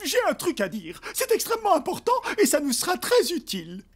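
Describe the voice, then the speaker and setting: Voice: sits high, wildly swooping pitch, brisk, noisy background. An actor voicing a naive, greedy, blundering wild boar in a goofy, earnest way for a children's cartoon. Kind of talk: children's cartoon dub acting.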